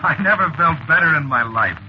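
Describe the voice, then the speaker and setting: An actor playing a calm, polite stranger, genial and matter-of-fact about death, in an old-time radio drama. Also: male voice, on the high side, fast, lightly acted. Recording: muffled, noisy vintage radio recording.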